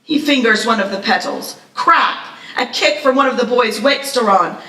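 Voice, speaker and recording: A woman's voice: on the high side, moderate pace, sharp tone. A woman reading screenplay action aloud as narrator at a live table read, with a dramatic, vivid delivery. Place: live festival reading in echoey room. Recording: echoey room, distant mic.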